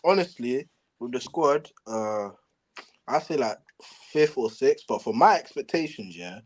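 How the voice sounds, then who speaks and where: A man calling in to a football show, ranting in an exasperated, animated way. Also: mid-range voice, measured pace. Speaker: caller. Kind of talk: casual chat.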